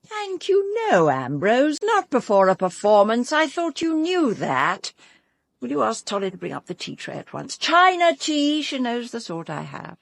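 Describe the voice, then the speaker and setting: An actress playing an imperious, theatrical stage-star wife in a radio drama, commanding and pointed beneath sweet endearments. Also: female voice, a little high, wildly swooping pitch, unhurried, vocal fry, dry recording, clean studio sound, heavily acted. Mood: brisk command.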